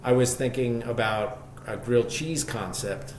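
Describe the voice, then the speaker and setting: A man's voice: medium pitch, unhurried. Man telling his story, echoey room. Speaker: podcast guest, shop co-founder. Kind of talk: conversational interview storytelling. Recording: echoey room, faint background noise.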